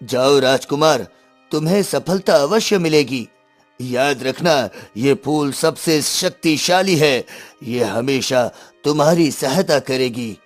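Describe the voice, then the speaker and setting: A man voicing a wise, protective holy sage in a cartoon story, solemn and measured. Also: medium pitch, faint music behind, lightly acted. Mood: solemn approval.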